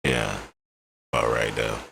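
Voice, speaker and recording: A man doing a slow, offhand mic check, mumbling test phrases as he tries out settings. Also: a bass voice, flat delivery, vocal fry, bright, forceful tone, slight background noise.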